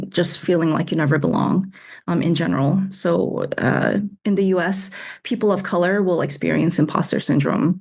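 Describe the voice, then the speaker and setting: A woman in her thirties speaks in a low register with a gravelly, fry-laden edge. She answers openly and conversationally, laughing easily as she explains her work as a coach over a video call. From United States (Alabama). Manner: candid, laughs often, repeats words. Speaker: executive coach, guest.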